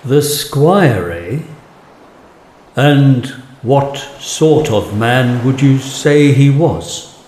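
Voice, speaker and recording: A man playing a mysterious weathered stranger in a ghostly radio play, slow and guarded. His voice echoes, over faint background noise. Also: medium pitch, expressive, a little mellow. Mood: guarded, probing. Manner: deliberate, guarded. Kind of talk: audio drama dialogue.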